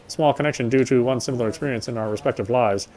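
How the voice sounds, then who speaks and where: A man's voice: mid-range voice, racing, a little mellow. A man reading visual novel text aloud as he plays, stumbling and rereading now and then with casual asides. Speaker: game streamer reading aloud.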